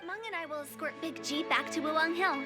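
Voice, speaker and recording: Woman doing cocky quirky young funeral director girl, really high voice, anime game, music going. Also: quick pace, lightly acted. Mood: brisk, instructing. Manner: breezy, self-assured. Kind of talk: anime video game voice acting. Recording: music behind.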